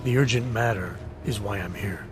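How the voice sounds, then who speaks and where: A man playing a stoic, gruff, wary security agent with a terse, clipped delivery in a video game. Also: on the low side, moderate pace, lightly acted. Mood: impatient. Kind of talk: video game cutscene acting. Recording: music behind the voice.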